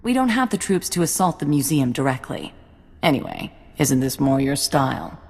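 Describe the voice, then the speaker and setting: A composed intelligence officer for a game. Her voice is low and measured, businesslike throughout. It carries a long reverb. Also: very animated, gravelly, a little mellow, breathy edge, exaggerated voice. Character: composed female military intelligence contact, businesslike. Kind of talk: video game cutscene acting.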